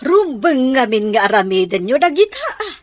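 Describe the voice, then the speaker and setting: Radio drama mother, scheming and coaxing, with animated swings, close dry sound. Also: fairly high pitch, normal speed, no echo. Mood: chiding. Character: scheming mother asking a man for money. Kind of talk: Ilocano radio drama acting.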